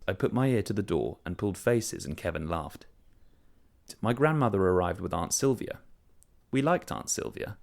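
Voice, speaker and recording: A man reading a short story aloud, telling it in a measured, wry first-person voice. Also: deep voice, gravelly, darkish tone. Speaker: story reader. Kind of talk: scripted narration of a short story.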